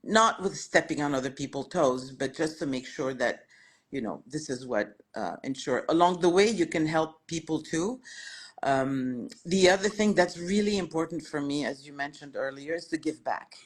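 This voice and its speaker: A woman's voice: low-pitched, moderate pace, slightly rough. An older woman from Lebanon whose first language is French, a restaurateur answering interview questions in a reflective way, with frequent fillers and restarts. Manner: warm-hearted, reflective, with many fillers and restarts. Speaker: guest, restaurateur.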